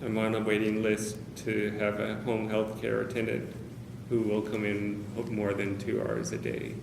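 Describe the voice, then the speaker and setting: A man speaking candidly to a meeting of caregivers, hesitant, with many pauses and fillers. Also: fairly low pitch, vocal fry. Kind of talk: personal talk to a small group. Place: meeting room with a group of about 45 people. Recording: roomy, noisy meeting-room recording.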